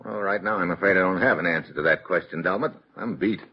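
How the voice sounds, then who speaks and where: An actor playing a tough, wry American investigator who narrates an old-time radio detective drama in a clipped, hardboiled way. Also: male voice, deep voice, fast, very noisy. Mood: weary. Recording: muffled old radio recording, music behind.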